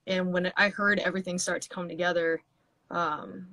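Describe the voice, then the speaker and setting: A woman's voice: on the low side, flat delivery, normal speed, pushed and bright, no room sound. Woman musician rambling with restarts. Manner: rambling with many restarts and fillers. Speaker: guest musician. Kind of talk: casual interview chat.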